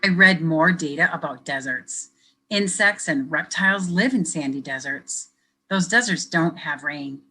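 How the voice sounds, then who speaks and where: A woman educator reading a picture book aloud to children, pausing to ask them questions in a gentle, expressive way. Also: low voice, breathy edge, poor audio. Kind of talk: children's read-aloud with teacher commentary.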